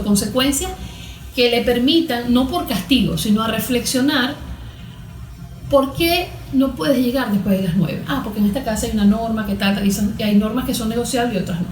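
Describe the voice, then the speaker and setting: A woman speaks as an expert guest in an interview. Her voice sits a little high and she explains things emphatically, often posing questions to make her point. The recording has a clear room echo. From Venezuela. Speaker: guest therapist. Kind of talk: conversational interview answers on parenting. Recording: roomy, echoing sound.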